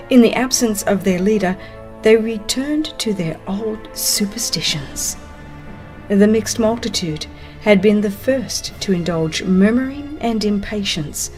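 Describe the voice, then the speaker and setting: A woman's voice: medium pitch, a little mellow. Solemn woman narrator over prominent music. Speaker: audiobook narrator. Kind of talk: dramatized scripted narration of a religious history. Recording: music under the voice. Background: background music.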